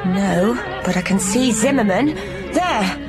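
An actress playing a feisty young female companion to a time traveller, talking quickly and cheekily. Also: mid-range voice, lively intonation. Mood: cheeky. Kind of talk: audio drama acting. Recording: dialogue over loud music score.

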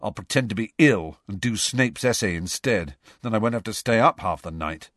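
A man voicing a grumbling teenage schoolboy in an audiobook, sulky and quick to protest. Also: on the low side, animated, a bit bright, no echo, studio quality. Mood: glum. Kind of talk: audiobook character voice.

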